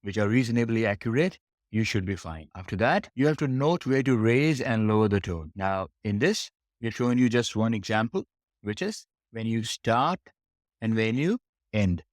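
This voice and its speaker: A man's voice: on the low side, expressive, moderate pace, dry recording, studio quality. A man teaching a test preparation lesson, explaining step by step and repeating phrases for emphasis. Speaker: English test preparation instructor.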